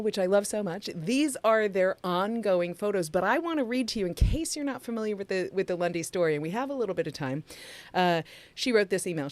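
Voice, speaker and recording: A woman with a slightly low voice co-hosts a relaxed livestream, talking through photos sent in by fans. She is effusive and gushing, laughing often as she goes. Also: no room sound. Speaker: podcast co-host. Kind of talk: casual livestream chat.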